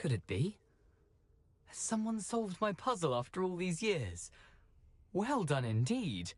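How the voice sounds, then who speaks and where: An actor playing the jaunty, theatrical ghost of a beheaded gentleman in a video game, with a British accent and a flowery, wry, genteel delivery. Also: sits high, very animated, measured pace, no room sound, heavily acted. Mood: jaunty, welcoming.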